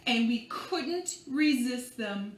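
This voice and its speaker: A woman reading a picture book to young children in an expressive, playful and theatrical way, drawing out words and adding hushed asides. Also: fairly high pitch, slow, soft background music. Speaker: librarian reading at children's storytime. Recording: echoey room with faint background noise.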